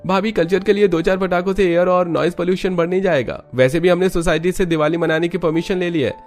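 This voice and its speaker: Cartoon young family man, played by a man: higher-pitched, cheerful, festive, light music behind. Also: fairly even intonation, fast. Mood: insistent. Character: cheerful, festive young husband and brother-in-law. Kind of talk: Hindi cartoon story dialogue.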